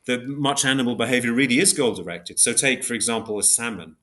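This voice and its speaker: A man giving an academic explanation in an interview, measured and hesitant, working step by step through examples. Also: medium pitch, steady tone, a bit bright. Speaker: podcast guest, philosopher of biology.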